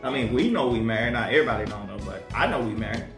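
A man in his thirties chats in a relaxed, joking way, using loose everyday grammar. His voice is at a middle pitch and echoes in a small room. Faint music plays behind him. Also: very fast. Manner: laid-back, joking, colloquial grammar. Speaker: co-host of a married couple's vlog. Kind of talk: casual chat and storytelling. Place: small room at home, windows shut. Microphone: desk condenser mic, wearing headphones.

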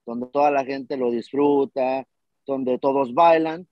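A man's voice: mid-range voice, fairly even intonation, slow pace, no echo, muffled recording. A man, a band vocalist, answering interview questions casually over a video call, rambling with fillers.

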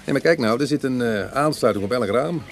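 An actor playing a proud, wry retired burglar in middle age who is easily goaded, in a Dutch radio play. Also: male voice, medium pitch, expressive, brisk, vocal fry, a little hiss or hum. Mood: amused, evasive. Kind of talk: Dutch radio drama acting.

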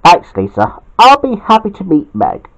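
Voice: mid-range voice, wildly swooping pitch, moderate pace, voice pushed up, rough recording. A needy male agent played by an amateur storyteller talks in a childlike, earnest way. The voice is raised a little and swoops widely, as in a read-aloud story. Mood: pleased. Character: sad, needy male agent wanting a playmate.

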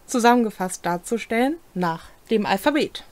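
A young adult woman, co-hosting and reading game rules aloud in a deliberate way with brief comments. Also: on the high side, animated. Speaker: co-host explaining tabletop game rules. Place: small home room, indoors.

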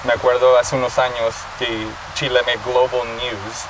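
A man in his thirties with a Mexican accent, hosting a relaxed interview and switching between English and Spanish. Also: medium pitch, unhurried. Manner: relaxed, switches languages mid-sentence. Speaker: host. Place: outdoor park under a tree, city. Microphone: distant camera mic. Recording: distant mic, city traffic noise.

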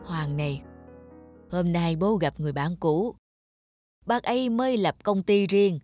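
A woman's voice: fairly low pitch, measured pace, darkish tone, faint music behind, natural voice. A woman voicing a weary, worried elderly father in a cartoon, admonishing and hesitant. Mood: hesitant coaxing. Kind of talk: cartoon dub acting.

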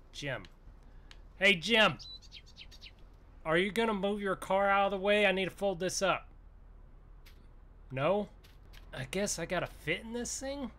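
Slow-talking man over loud engine noise. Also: on the high side. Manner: rambling, folksy, thinking aloud. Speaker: gaming video host. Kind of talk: improvised roleplay narration as a farmer. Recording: noisy, vehicle engine sounds behind voice. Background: game engine and vehicle sounds.

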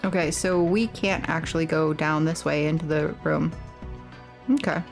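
A woman giving playful, expressive let's play commentary on a game, excitable and theatrical when reading aloud. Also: low-pitched, normal speed, creaky, faint music behind. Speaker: game streamer and host.

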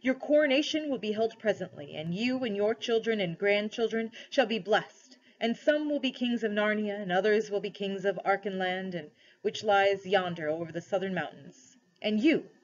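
A woman's voice: mid-range voice, moderate pace, hardly any pauses, muffled recording, lightly acted. A woman voicing a great male lion king from a storybook, grave, wise and commanding, with formal speech. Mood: solemn blessing.

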